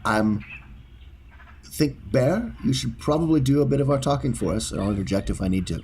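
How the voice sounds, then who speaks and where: A man's voice: mid-range voice, animated, fairly quick, darkish tone, slight background noise. A man playing a tabletop game with dry, wry remarks. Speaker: player. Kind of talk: tabletop play.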